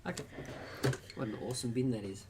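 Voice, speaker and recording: A man chatting off camera with his partner, slightly hoarse, trading dry teasing remarks. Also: medium pitch, fairly even intonation, quick pace, a little mellow, slight background noise. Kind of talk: casual banter.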